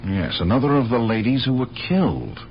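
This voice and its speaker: An actor playing a dogged, skeptical police lieutenant in a radio drama, questioning people insistently. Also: male voice, mid-range voice, sing-song, brisk. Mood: exasperated, concerned. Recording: dull, hissy old radio broadcast.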